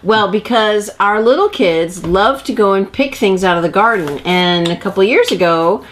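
A woman's voice: mid-range voice, animated, moderate pace. A middle-aged woman co-hosting a casual home video, talking easily and laughing along at a table. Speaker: co-host. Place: living room at home.

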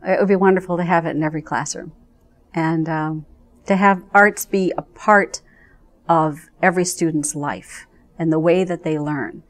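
A middle-aged American woman speaking conversationally in an interview, thoughtful and halting in her phrasing. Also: low-pitched, fairly even intonation. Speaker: arts education program representative. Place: indoors in front of a curtain.

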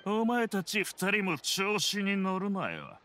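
An old swindler from a video game, high-pitched and sharp. He blusters and threatens in rough words, his pitch swinging widely. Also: sing-song, takes it slowly, a little hiss or hum, heavily acted. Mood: menacing. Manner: menacing, rough-spoken. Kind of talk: Japanese game voice acting.

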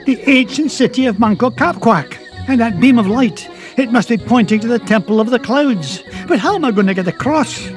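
A miserly old Scottish duck adventurer for a cartoon game. The voice sits high for a man and rasps with spirit. Music plays clearly behind it. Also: animated, normal speed, few pauses. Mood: awed, eager. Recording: game cutscene with music underneath.

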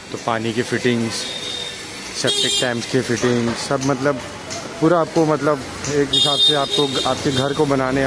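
A man with a Mumbai accent giving a casual, informal walking-tour commentary as a vlogger, often repeating himself as he points things out. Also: medium pitch. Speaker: vlogger guiding a market tour. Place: outdoors on a busy market street. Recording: loud street traffic and ambience. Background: traffic and urban street noise.

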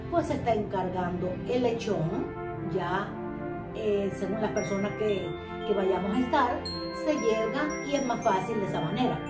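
A Cuban woman in her sixties speaks with a Cuban Spanish accent in a chatty, reminiscing tone, recorded by a camera across her living room. The room sounds echoey, and loud music plays behind her voice. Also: medium pitch. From Cuba. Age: 60s. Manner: reminiscing, chatty, addresses viewers directly with rhetorical questions. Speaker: vlogger. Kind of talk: personal storytelling to camera.

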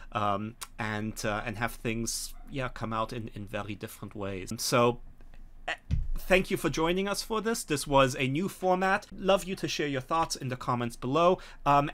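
A middle-aged man with an average-pitched voice talks as a host from a room at home. He speaks off the cuff in an explanatory way, often pausing to check that the listener follows. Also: a bit bright. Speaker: host and scenario author. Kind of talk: unscripted discussion of a tabletop game scenario. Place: home room on a video call.

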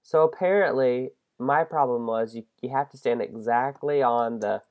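A young man with a head cold and a congested voice, hosting a casual game let's-play, excitable and self-mocking. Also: mid-range voice, moderate pace. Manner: excitable, self-deprecating, shrieks at mistakes. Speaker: gaming channel host.

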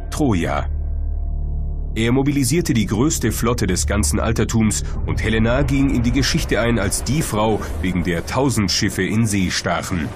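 A man reading scripted narration as the narrator of a history documentary. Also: fairly low pitch. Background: music bed.

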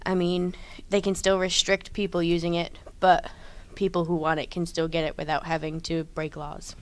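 A young woman with a smooth, clear, slightly low voice gives casual interview answers. She speaks like a student, into a handheld microphone indoors. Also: flat delivery, normal speed. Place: indoor room with brick wall. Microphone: handheld microphone held out by interviewer.

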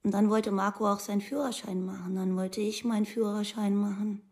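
A woman's voice: medium pitch, fairly even intonation, brisk, darkish tone, lightly acted. An actress playing a devoted, wistful woman of twenty-six, talking in plain short phrases in a documentary radio play. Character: devoted, wistful 26-year-old girlfriend of a prisoner.

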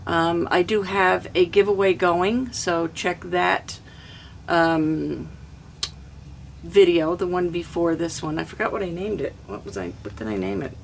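An older woman with a hoarse throat, chatting casually through a cooking vlog in a small camper kitchen. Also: fairly low pitch, unhurried, a little hiss or hum. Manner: chatty, homey, rambling. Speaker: cooking vlogger.

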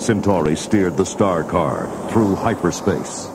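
A man narrating a scripted children's read-along story in a dramatic, clearly phrased way. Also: low-pitched, measured pace, heavy background noise.

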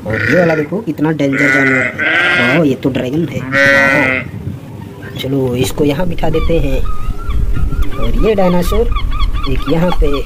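Children's toy-play host, exclamatory and playful, highly animated intonation, heavy noise on the recording. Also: unhurried, long pauses. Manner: exclamatory, repeating interjections of wonder. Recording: very noisy background.

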